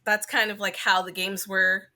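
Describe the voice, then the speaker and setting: A woman's voice: medium pitch, flat delivery, moderate pace, pushed and bright. A woman podcast co-host in casual chat about games, informal and sweary, peppering her speech with "like".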